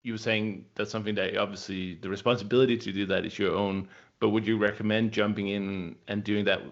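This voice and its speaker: A man's voice: on the low side, flat delivery, brisk, creaky, muffled recording. A male host asking a guest questions in a casual interview.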